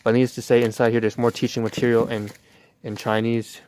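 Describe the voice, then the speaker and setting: A man's voice: medium pitch, little pitch movement, fairly quick, a little mellow, a little hiss or hum. A man hosting a livestream, rambling through a narration of what he is doing in hesitant, wordy and slightly formal phrasing. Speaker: livestream host. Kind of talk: rambling vlog narration while sorting paperwork.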